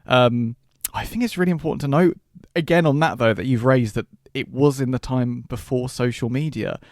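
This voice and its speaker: A man's voice: mid-range voice, moderate pace, darkish tone, dry recording. Casual man in a dry, close recording. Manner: informal, hedging, thinking aloud. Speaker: podcast co-host. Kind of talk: casual film discussion.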